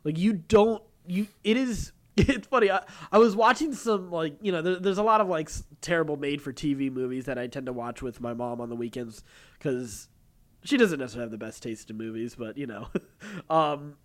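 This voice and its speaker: A man co-hosting a podcast in casual chat, speaking in a halting, digressive way with chuckles. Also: fairly high pitch. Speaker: podcast co-host. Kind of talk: casual chat about TV and voice acting.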